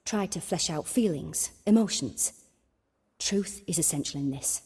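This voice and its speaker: A woman playing a calm, solemn, gently commanding dream seer in a video game, speaking softly and huskily in a measured, instructing way. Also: on the low side, husky.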